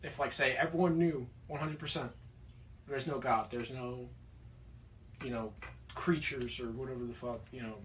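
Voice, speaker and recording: A man poses questions in a loose, offhand way and swears casually as he goes. His pitch is ordinary. A faint hiss sits behind his voice. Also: steady tone, moderate pace, long pauses, recorded from a distance, rough recording. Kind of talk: casual discussion.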